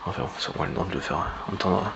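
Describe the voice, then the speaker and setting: A man giving a rambling, informal political monologue in a halting, sardonic way, with slang and swearing. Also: sits low, muffled recording. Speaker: online political commentator. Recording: clearly noisy background.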